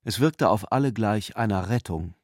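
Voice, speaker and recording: Male narrator for literary radio drama: low register, measured, exact delivery, dry studio acoustic. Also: expressive, no echo.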